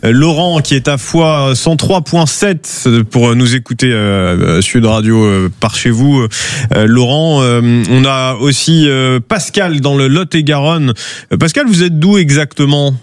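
A man's voice: mid-range voice, a little slow, no room sound, studio quality. A man hosting a live call-in radio show, talking with hesitant filler sounds as he introduces callers. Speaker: radio talk show host.

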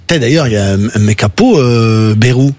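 A man's voice: medium pitch, lively intonation, moderate pace, darkish tone. A man hosting a radio interview, chatty and teasing. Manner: chatty, teasing, laughs often. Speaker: radio host.

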